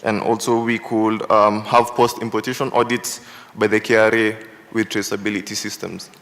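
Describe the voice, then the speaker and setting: Low, level man answering calmly. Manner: measured, careful, filler-heavy. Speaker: youth council presenter. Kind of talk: formal reply at a hearing. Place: large hearing room. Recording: long hall reverb.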